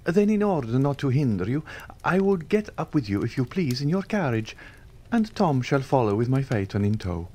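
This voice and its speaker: A giddy, self-satisfied young gentleman gushing over his good luck and bragging of his own cleverness. A man reads him aloud, lifting his voice higher and livelier than his narration, with a swooping, animated lilt. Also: normal speed. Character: giddy, boastful young social climber, male.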